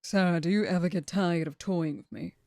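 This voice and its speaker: A woman's voice: on the low side, brisk, darkish tone, no echo, clean studio sound, natural voice. A woman playing an irritable, stubborn assassin in an ASMR audio roleplay, blunt and dismissive in her delivery. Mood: defiant, curt. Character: irritable, stubborn female assassin, undead and aloof.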